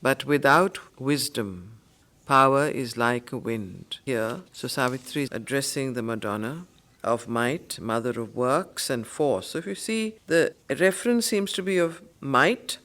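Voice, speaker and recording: A woman with an Indian English accent leads a study circle. She moves between solemn verse reading and spoken explanation. Her delivery is deliberate and emphatic, often returning to a phrase to stress it. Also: a bass voice, a bit bright. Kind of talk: slow poetry recitation with spoken commentary.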